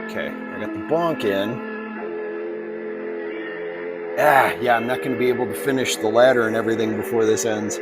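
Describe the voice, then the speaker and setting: A man's voice: fairly low pitch, normal speed, pauses often, over loud music. A man chatting casually and off the cuff as he hosts a live stream, with wry muttered asides. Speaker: artist live-streamer, host.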